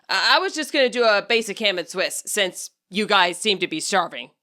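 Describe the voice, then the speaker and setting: A woman's voice: mid-range voice, expressive, normal speed, sharp tone. A woman voicing a fussy, exasperated teenage boy who loves cooking, in a storybook reading, particular about every detail. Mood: awkward, hesitant.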